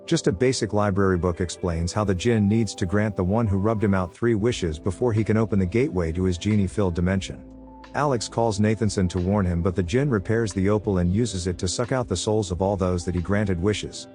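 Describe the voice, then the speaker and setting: A man narrates a film recap in a fairly deep voice, tossing in flippant asides as he goes. The delivery is scripted and sardonic, with soft music underneath. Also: a little fast.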